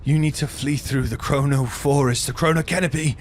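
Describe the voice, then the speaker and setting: Man playing a desperate, urgent wounded fighter, raised pitch. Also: fairly even intonation, a little fast, a little hiss or hum, natural voice. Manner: urgent, commanding warnings.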